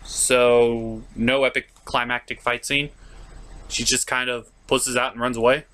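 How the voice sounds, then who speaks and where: A man's voice: mid-range voice, level tone, measured pace, bright, forceful tone. A man hosting a comedic cartoon review, recapping the plot in a sarcastic, mock-dramatic and profane manner. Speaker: cartoon reviewer, show host.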